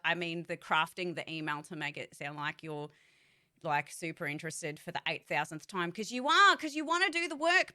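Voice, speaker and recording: A woman's voice: mid-range voice, bright, forceful tone. A woman voice actor speaking in a candid, rambling vlog. She talks bluntly, swears freely, and uses many fillers and restarts. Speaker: voice actor giving career advice. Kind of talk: casual vlog monologue.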